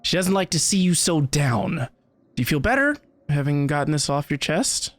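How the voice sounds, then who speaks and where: Very low-voiced, animated woman streamer. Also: moderate pace. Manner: informal, slangy. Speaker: streamer reacting to a game. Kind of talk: casual live reaction commentary.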